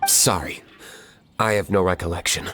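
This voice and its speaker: A man's voice: deep voice, fairly even intonation, moderate pace, background noise, lightly acted. A man voicing a cold, stoic young tavern owner in a video game, terse and dismissive. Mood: curt, cold. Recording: music behind the voice.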